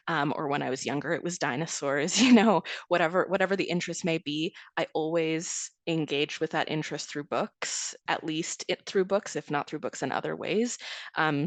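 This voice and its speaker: Gravelly-voiced woman chatting in an interview. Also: sits low, no room sound. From Toronto area, Canada. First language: Serbian. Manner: chatty, laughs often, many restarts. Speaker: essayist guest. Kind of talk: casual interview answers.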